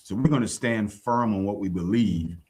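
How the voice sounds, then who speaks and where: A man speaking calmly and evenly about standing firm in his beliefs on a faith podcast. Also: lowish voice. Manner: calm, measured, lively intonation. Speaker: podcast co-host. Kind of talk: casual faith discussion.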